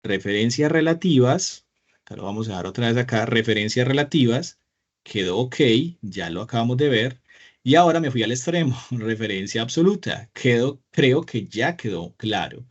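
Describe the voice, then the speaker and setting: Encouraging teacher, slightly dull sound. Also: male voice, medium pitch, animated, moderate pace. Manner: patient, encouraging, asks the class questions. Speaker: Excel teacher. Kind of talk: live online class with screen share. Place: small room indoors.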